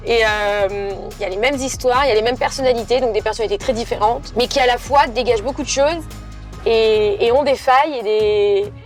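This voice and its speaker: A woman with a fairly high voice interviews her guest in a lively, informal, chatty manner, full of eager asides. She grew up in southeastern France and hosts the conversation herself. Faint music hums underneath. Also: a little fast. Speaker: interviewer, influencer host. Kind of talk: casual interview chat.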